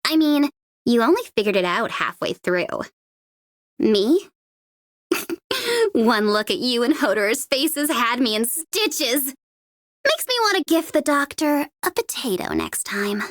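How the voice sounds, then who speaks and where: A woman's voice: on the high side, big pitch swings, unhurried, pauses often, dry recording, studio quality. A woman voicing a young female mercenary bomber in a video game, gleeful and taunting, with a playful, sardonic, theatrical delivery. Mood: amused teasing. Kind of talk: video game character voice lines.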